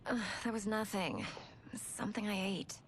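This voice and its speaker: A woman's voice: mid-range voice, normal speed, a little hiss or hum, close to an everyday voice. A woman playing a defensive young princess in a fantasy game, hesitant and pleading as she speaks. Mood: evasive. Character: anxious, defensive young princess, heir to a throne.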